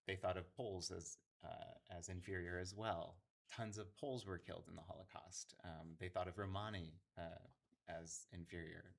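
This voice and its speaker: A man's voice: on the low side, vocal fry, a bit bright. A man talking earnestly in a casual conversation, thinking aloud with fillers and restarts.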